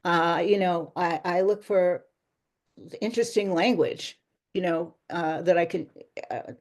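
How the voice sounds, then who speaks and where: A woman's voice: mid-range voice, a little mellow. Chatty woman author, rambling answers. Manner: chatty, rambling, laughs often. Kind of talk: casual interview answers.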